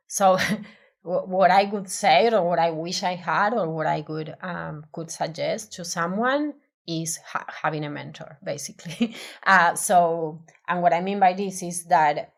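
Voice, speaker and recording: Spanish-accented woman, low-pitched, hesitant. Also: slow, vocal fry. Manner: hesitant, many restarts and fillers, thoughtful. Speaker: design professional, podcast guest. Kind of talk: casual interview conversation.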